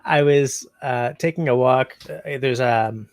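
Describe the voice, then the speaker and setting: Gravelly man chatting on a livestream. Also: mid-range voice, takes it slowly, no room sound. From Canada. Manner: meandering, reads out chat comments. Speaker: livestream host. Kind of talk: casual livestream chat.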